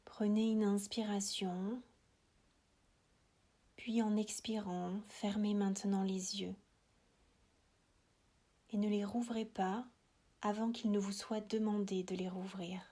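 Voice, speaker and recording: A woman guiding a relaxation meditation in a soothing, hushed voice, with short phrases, long pauses and breathy, whispered endings. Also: medium pitch, flat delivery. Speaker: yoga nidra relaxation guide.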